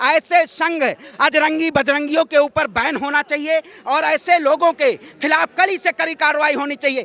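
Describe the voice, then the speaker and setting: Male activist, very high bright voice, combative street oratory. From Ayodhya, north India. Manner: combative, declamatory, rhetorical questions. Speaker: protester, activist. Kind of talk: impassioned street interview, political speech. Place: outdoor street protest site.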